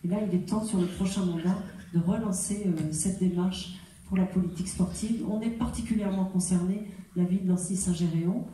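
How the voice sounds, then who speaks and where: Heard from a distance in a heavily reverberant room, a woman addresses a public meeting as a local politician. Her voice is very dark and muted. She explains point by point in a semi-improvised way, adding tag words and correcting herself as she goes. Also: fairly low pitch, fairly even intonation, brisk, slight background noise. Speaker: local politician and mayoral candidate. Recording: very echoey room, distant muffled mic.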